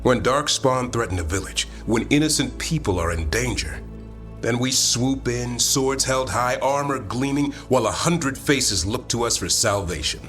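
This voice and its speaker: An actor playing a wry, earnest warrior of an honorable fantasy order in a video game, with dry, measured delivery. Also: male voice, medium pitch, animated, fairly bright tone, faint music behind, natural voice. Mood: earnest, stirring.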